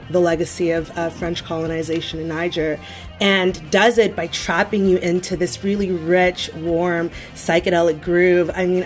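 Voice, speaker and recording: A woman on a radio panel, talking about music in a thoughtful, articulate way. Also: lowish voice. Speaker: guest music critic.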